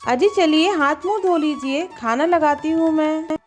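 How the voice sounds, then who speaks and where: Woman acting a high-voiced dramatic village housewife. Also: measured pace, soft background music. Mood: dutiful. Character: farmer's scheming, later remorseful young wife. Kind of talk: Hindi moral story cartoon dialogue.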